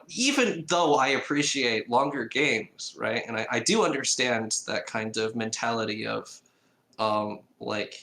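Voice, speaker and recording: A man chatting as a developer guest in an interview, halting and circling back as he explains. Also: fairly low pitch, creaky, sharp tone. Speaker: indie game developer, podcast guest. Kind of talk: casual interview chat. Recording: echoey room.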